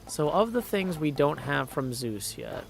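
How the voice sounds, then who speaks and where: A man's voice: fairly high pitch, very animated, a little slow, vocal fry, faint music behind. A man casually commentating on a game as a livestreamer, thinking aloud and reading bits of on-screen text.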